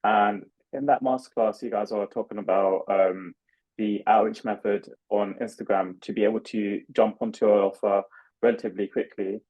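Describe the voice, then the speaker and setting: A man's voice: lowish voice, fairly even intonation, moderate pace. A man asking questions as a coaching client in a friendly, chatty way, over dull call audio.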